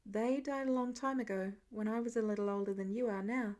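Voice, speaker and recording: A woman playing a gentle teenage orphan boy who speaks softly, warmly and a little hesitantly. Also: a little high, steady tone, fairly quick, close to an everyday voice. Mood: warm, calm. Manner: soft, warm, hesitant.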